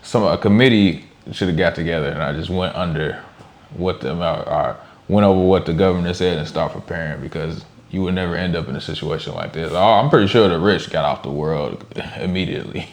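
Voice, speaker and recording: A man with a very low voice gives casual commentary as a reaction co-host. He talks informally with slang, thinking aloud as he makes his points. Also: brisk. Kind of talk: casual chat, off-the-cuff commentary.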